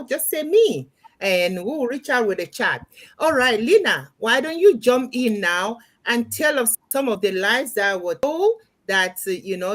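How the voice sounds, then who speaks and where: A woman hosting an online panel livestream, giving announcements and handing over to guests. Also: fairly high pitch. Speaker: host. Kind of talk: moderating a livestream. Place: remote online livestream call.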